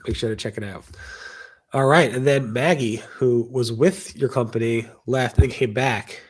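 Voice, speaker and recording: A man hosting a casual chat, brisk and moving things along. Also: medium pitch, fairly even intonation, husky. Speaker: host.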